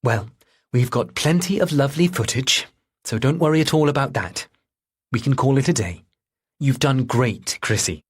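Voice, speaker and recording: Guy doing a kind lady interviewer in an audiobook, pitched up, a bit husky. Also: animated, normal speed. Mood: reassuring. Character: friendly, reassuring adult female TV interviewer.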